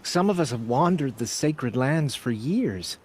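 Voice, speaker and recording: Man as a humble, slow-speaking outcast tribesman. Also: mid-range voice, expressive, darkish tone, a little hiss or hum, lightly acted. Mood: earnest, ashamed. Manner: earnest, halting, solemn. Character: humble, remorseful outcast tribesman seeking shelter. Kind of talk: video game character acting.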